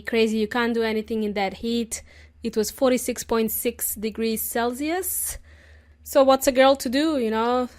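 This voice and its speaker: A woman hosting an English-learning podcast, talking chattily and laughing often, her voice hoarse from a cold. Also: a little high. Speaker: podcast host.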